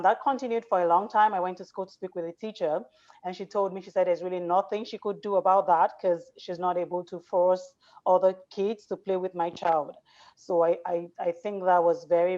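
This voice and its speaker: Earnest low-voiced woman on a dull line. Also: a little mellow. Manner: earnest, many "um" fillers. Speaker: guest, foundation founder. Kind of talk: personal story in an interview.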